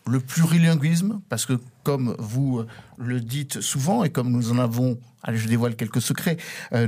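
A man's voice: mid-range voice, darkish tone. Formal male interviewer. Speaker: interviewer, host. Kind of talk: radio interview.

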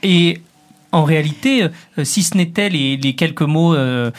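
A man hosting an interview, polite and gently teasing, asking questions with halting fillers. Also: fairly high pitch. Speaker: interviewer, host.

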